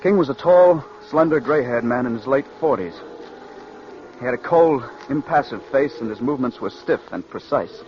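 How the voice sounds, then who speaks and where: A man's voice: medium pitch, lively intonation, normal speed, darkish tone, natural voice. Male actor for earnest, edgy writer, early thirties, mid-range voice, vintage radio drama, dull sound. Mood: narrating. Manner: earnest, anxious, deferential. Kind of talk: 1940s radio acting. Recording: dull old radio recording, faint noise.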